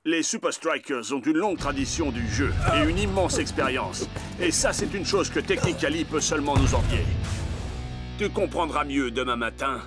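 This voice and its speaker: Man playing a measured, firm soccer coach. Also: on the high side, animated, music in the background, lightly acted. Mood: reassuring. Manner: measured, didactic. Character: calm, firm, wise male soccer coach. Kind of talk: animated cartoon dubbing in French.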